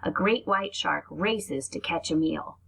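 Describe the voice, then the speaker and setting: A woman's voice: low voice, a little slow, gravelly, a bit bright, husky, rough recording. A woman reading aloud to young children like a teacher, explaining things and adding asides as she goes.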